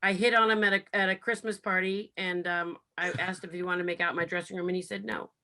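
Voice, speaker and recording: A woman's voice: on the low side, little pitch movement, quick pace, sharp tone. A woman reminiscing casually as a cast member on a video call.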